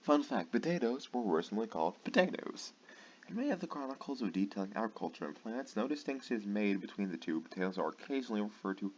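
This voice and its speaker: A tired man reading aloud late at night, stumbling over words and breaking off for sarcastic, swearing asides. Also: sits low. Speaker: channel host. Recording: dull lo-fi with faint noise.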